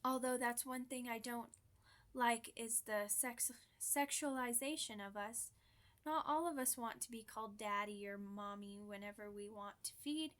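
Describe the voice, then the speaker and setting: A woman's voice: on the high side, moderate pace, fairly bright tone, natural voice. A woman voicing a polite, chatty, proud old female vampire being interviewed, speaking in genteel, slightly formal phrases for an ASMR roleplay. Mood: candid, matter-of-fact.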